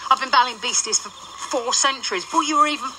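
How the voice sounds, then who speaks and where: An actress playing the ghost of a centuries-old nun who now hunts monsters, talking in a brisk, sardonic, impatient way. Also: female voice, high-pitched, close to an everyday voice. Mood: brusque. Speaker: lead character. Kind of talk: radio drama acting. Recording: noisy radio drama track.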